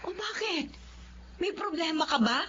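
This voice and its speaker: A high-pitched, nagging Filipino mother. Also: a little slow, a little hiss or hum, exaggerated voice. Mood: worried, anxious. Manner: nagging, expressive. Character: scolding, teasing, worrying Filipino mother of a family. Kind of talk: Filipino radio drama acting. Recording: dull, narrow-band recording.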